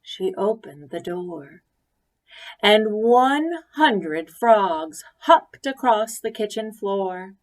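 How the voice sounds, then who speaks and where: A woman reads a picture book aloud in a medium-pitched voice. She takes it very slowly and deliberately, pausing to give words emphasis in an expressive storytelling style. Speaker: story reader.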